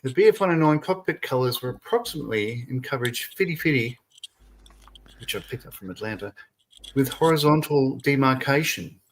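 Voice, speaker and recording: A man's voice: medium pitch, animated, a little fast, background noise. A man hosting a casual live stream, chatting freely, laughing often and reading viewer comments aloud. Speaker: stream host.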